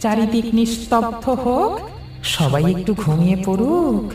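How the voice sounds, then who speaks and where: An actor voicing the weary, regretful ghost of a village thief in a cartoon, talking slowly and plaintively. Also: mid-range voice, very animated, darkish tone. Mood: hushed, ominous. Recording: heavy echo, distant, music underneath.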